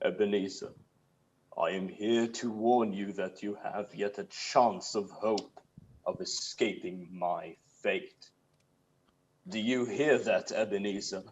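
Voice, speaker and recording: A man's voice: lowish voice, fairly even intonation, slow, lo-fi sound, slight background noise. A man playing a stern, mournful ghost in a radio play, speaking in a solemn, portentous way. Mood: solemn warning. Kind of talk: old-time radio play acting.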